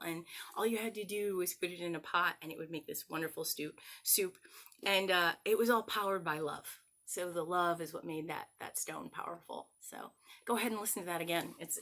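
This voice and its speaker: A woman hosting a story time for children, talking to them in an animated, encouraging way and leading singalongs. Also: medium pitch.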